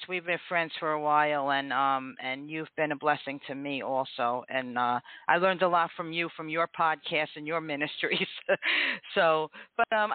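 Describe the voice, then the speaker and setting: A woman's voice: lowish voice, no room sound. A woman hosting a casual call-in interview, friendly and restarting her sentences often. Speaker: podcast host. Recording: tinny phone-like audio, dry.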